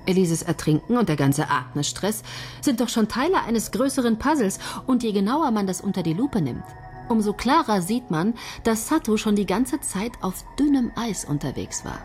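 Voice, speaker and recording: An actress playing a bossy, brisk woman who leads a soap opera writing team in a radio play. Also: mid-range voice, big pitch swings, a little mellow, faint music behind, lightly acted.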